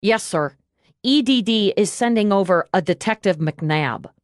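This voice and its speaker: A woman's voice: mid-range voice, lively intonation, measured pace, dry recording, close to an everyday voice. A woman performs a loyal female police aide in a crime audiobook. She reports dutifully to her superior with a wry, cheeky edge. The sound is close and dry. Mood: reporting. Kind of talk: audiobook character dialogue.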